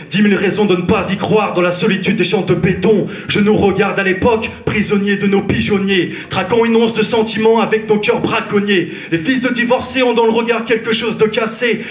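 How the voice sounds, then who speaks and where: Guy from france doing slam poetry live on stage, high-ish voice, rhythmic and intense, echoey theatre. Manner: rhythmic, intense spoken-word delivery. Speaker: slam poet. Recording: roomy theatre echo, live.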